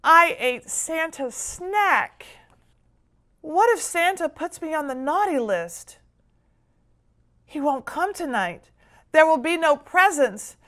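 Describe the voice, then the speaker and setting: A woman voicing an eager, earnest little girl in a Christmas storybook, with an excitable, childlike manner. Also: sits high, slow, pitched above the performer's own voice, full character voice. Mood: worried.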